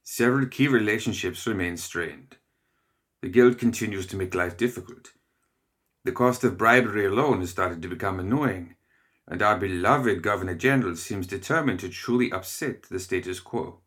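A man's voice: fairly low pitch, moderate pace, a bit bright, natural voice. A man voicing a nervous, formal lawyer who speaks deferentially.

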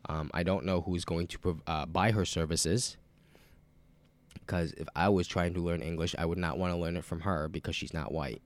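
A man with a very low voice who sounds nasal and stuffed up from a cold. He hosts a podcast in casual chat, rambling loosely, swearing freely and filling pauses with lots of "um" and "like.". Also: fairly even intonation. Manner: loose, rambling and profane, with many fillers. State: sick with a cold. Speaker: podcast host.

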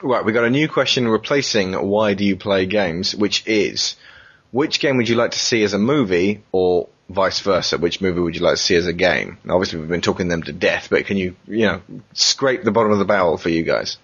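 A man's voice: sits low, a little fast, fairly bright tone, husky, highs cut off. A man hosting a casual video game podcast, talking in an informal, conversational way. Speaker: podcast host. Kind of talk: casual chat about video games.

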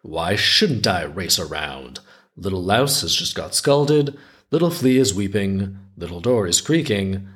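A man's voice: deep voice, very animated, unhurried, fairly bright tone, heavily acted. A man reading the part of a frantic, defensive little household object in a folktale, in an emphatic, indignant recital.

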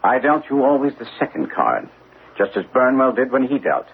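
An actor playing a shrewd, commanding detective with precise, assured, instructive delivery in an old-time radio drama. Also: male voice, mid-range voice, sing-song, normal speed. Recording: tinny, noisy old radio broadcast.

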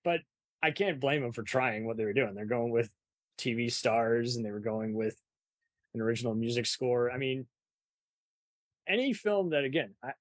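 A man's voice: medium pitch, normal speed, long pauses, no room sound. A man chatting casually as an interviewer, offering rambling, hedged opinions. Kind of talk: casual chat.

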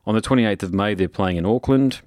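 A young man with an Australian accent and a low, deep voice hosts a casual interview. He talks in an easy, enthusiastic way, full of "mate" and friendly chatter. The sound is dry and close, with no room around it. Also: brisk, a little mellow, dry recording. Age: young adult. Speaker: podcast host.

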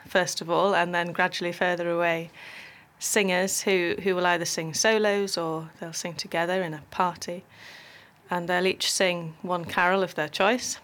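A woman vicar talks through how the evening service will run, unhurried and conversational. Her voice sits a little low for a woman, and she fills her explanation with small sung examples. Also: a bit bright. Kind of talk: casual explanatory chat.

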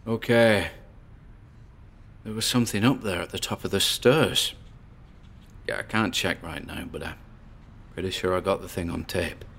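Low-voiced, gravelly male paranormal investigator, jittery but driven, confiding in listeners on a solo recording for a horror audio drama. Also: normal speed, long pauses, fairly bright tone. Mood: shaken, awed.

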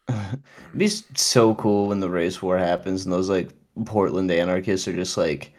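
A man's voice: deep voice, measured pace, a little mellow. A man in casual chat, laid-back and teasing, laughing a lot.

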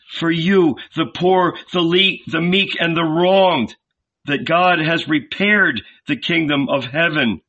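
A man's voice: a little high, a bit bright, dull audio, pitched above the performer's own voice, full character voice. A man voicing an impassioned male prophet who preaches to a crowd in a raised, imploring voice. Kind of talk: audiobook character voice.

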